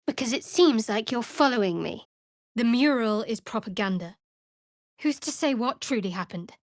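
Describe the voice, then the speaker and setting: An actress playing a guarded, wry young cleric of darkness in a video game, speaking in a measured way. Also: female voice, on the high side, dry recording, clean studio sound. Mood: cool, suspicious.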